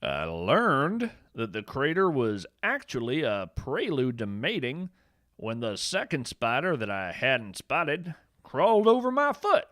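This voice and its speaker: A man acts out a folksy field naturalist with a very lively, swooping delivery, recorded dry and close. He tells whimsical tales of strange creatures with earnest enthusiasm, as in a podcast monologue. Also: medium pitch, a little slow, dry recording. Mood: fascinated, wry. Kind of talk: first-person storytelling, fiction podcast monologue.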